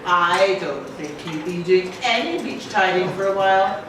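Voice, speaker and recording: A woman voicing a brisk, nagging lady organiser in a live children's puppet show, full of scolding exclamations. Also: on the low side, close to an everyday voice. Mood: bossy, teasing. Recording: noisy echoey hall, far from mic.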